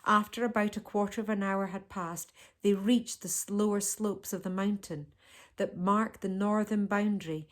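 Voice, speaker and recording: A woman reads a children's novel aloud in the manner of a teacher. Her storytelling is expressive, and her voice sits at an ordinary middle pitch for a woman. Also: moderate pace. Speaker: teacher reading aloud to pupils.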